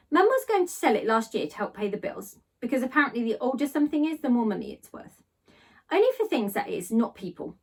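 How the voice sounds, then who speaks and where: Female storybook reader, higher voice, animated first-person narration, recorded a little distant. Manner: animated read-aloud delivery. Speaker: teacher reading a children's novel aloud.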